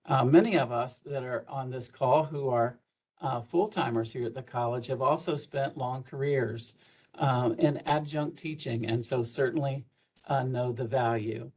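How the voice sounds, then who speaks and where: A man's voice: mid-range voice, measured pace, darkish tone, husky. An older man from South Georgia, giving folksy welcoming remarks as a college administrator on a video call. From South Georgia, USA. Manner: folksy, with frequent fillers. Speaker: college administrator introducing the president.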